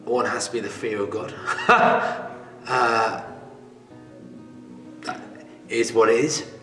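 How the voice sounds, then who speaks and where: A man's voice: mid-range voice, steady tone, takes it slowly, bright, forceful tone. Hesitant young man, echoey hall. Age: 20s. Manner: hesitant, many fillers, drops to a near whisper. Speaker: church member giving testimony. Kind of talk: testimony interview. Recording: echoey hall, mic far off, music behind.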